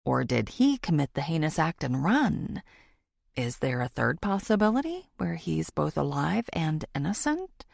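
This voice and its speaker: A woman playing a thoughtful, determined amateur sleuth, narrating a thriller audiobook in an introspective, expressive way. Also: fairly low pitch, creaky, dry recording, clean studio sound, heavily acted.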